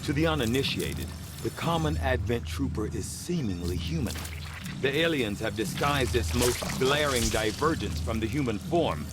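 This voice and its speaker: An actor playing a clinical, erudite male scientist in a video game, speaking in formal, measured sentences. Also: mid-range voice, big pitch swings, heavy background noise, lightly acted. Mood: clinical, detached. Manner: formal, precise, measured delivery. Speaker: alien researcher scientist. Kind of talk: scripted video game cutscene acting. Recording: loud music behind. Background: music.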